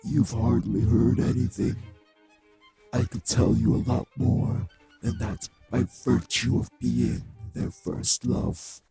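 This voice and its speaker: A man playing a cruel, taunting male vampire in an audio drama, with a husky, gravelly voice and a slow, mockingly polite manner. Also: mid-range voice, wildly swooping pitch, mellow, soft background music, exaggerated voice. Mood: smug taunting. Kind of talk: scripted audio roleplay drama.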